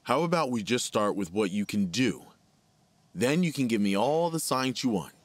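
A man's voice: mid-range voice, very animated, normal speed, no room sound. An actor playing a worried, weary father in an audio drama, speaking in a blunt, plain-spoken way. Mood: troubled, blunt.

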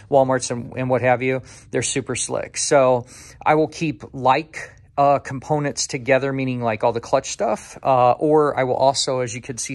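Chatty American man, casual workshop host. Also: medium pitch. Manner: chatty, informal, rambling. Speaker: motorcycle mechanic and channel host. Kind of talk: casual workshop walkthrough to camera. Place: motorcycle repair garage workshop, indoors.

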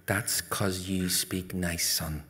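A man's voice: on the low side, measured pace, fairly bright tone. A man voicing a stern, no-nonsense Northern Irish mother in a told story, scolding and commanding but caring. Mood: firm, weary.

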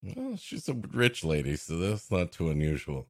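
A man's voice: deep voice, huge pitch swings, moderate pace, dry recording, clean studio sound. A man co-hosting a comedy podcast, riffing casually with joking interjections.

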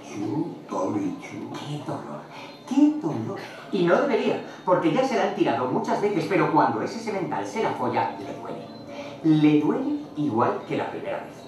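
A man's voice: a little high, very animated, moderate pace. A man delivers spoken and sung poetry over prominent guitar. The theatre sound is very echoey and picked up from a distance. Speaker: singer and guitarist. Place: dark theatre stage, indoors. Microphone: stand microphone. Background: guitar music.

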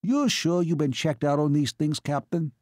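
Nervy little male sidekick, by a man narrating: eager, worried, higher pitch, audiobook. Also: animated, normal speed, a little mellow, no echo, studio quality, natural voice. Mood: anxious.

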